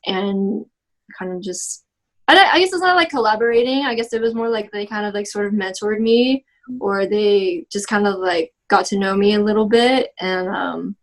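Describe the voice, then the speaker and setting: A woman's voice: medium pitch, moderate pace. A young woman in her twenties from Texas, answering oral history interview questions casually with many "like" and "um" fillers and restarts. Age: 20s.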